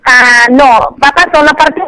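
A woman's voice: sits high, takes it slowly, bright, forceful tone. A woman working at a pizzeria, taking a customer's order over the phone. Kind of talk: customer service phone conversation. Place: on a phone call. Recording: phone line through a show's speakers.

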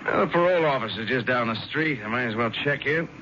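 An actor playing a bitter, secretive ex-convict in a 1970s radio drama. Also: male voice, mid-range voice, expressive, quick pace, bright, forceful tone. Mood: weary, confiding. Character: bitter male ex-convict football player, truck driver, secretive. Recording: muffled, noisy 1970s radio broadcast.

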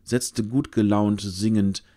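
A man narrating a scripted fantasy audio drama, using dramatic pauses. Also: fairly low pitch, fairly even intonation, mellow. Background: music.

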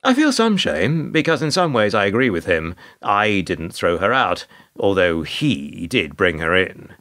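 A man narrating a radio play as a wry, put-upon storyteller, measured and sardonic. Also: on the low side, very animated, a bit bright, heavily acted. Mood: wry, dry. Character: wry, put-upon male narrator recalling awkward encounters.

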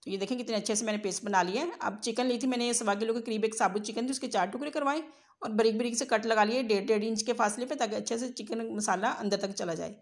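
A woman's voice: a little high, fast talker. A woman narrates a cooking tutorial as a home cook, explaining step by step in an instructional way. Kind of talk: recipe tutorial voice-over.

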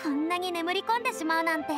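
Very high, theatrical young lady. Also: fast, over music. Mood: breezy satisfaction. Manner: grand, boyish phrasing. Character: theatrical, breezy young woman of high standing. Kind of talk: anime game voice acting.

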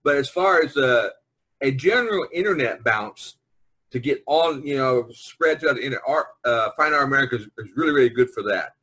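A man hosting a podcast in a casual, rambling, easygoing group chat with a husky voice. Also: medium pitch, lively intonation, a little fast, pushed and bright, low-quality recording. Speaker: podcast host. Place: online video conference.

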